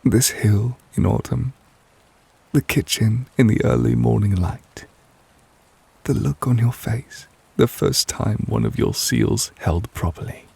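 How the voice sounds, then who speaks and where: A man playing a devoted, tender but possessive lover in a romantic ASMR roleplay, speaking softly and intimately in a measured, wistful way, close to the microphone. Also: medium pitch, no room sound, heavily acted. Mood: tender, reflective. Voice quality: soft, breathy, husky. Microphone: close, dry microphone.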